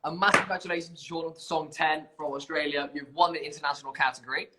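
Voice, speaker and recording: A man's voice: fairly high pitch, fairly even intonation, rapid-fire, heavy background noise. A man hosting an informal live competition stream, chatty and self-deprecating, bumbling along as he presents. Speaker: competition livestream host.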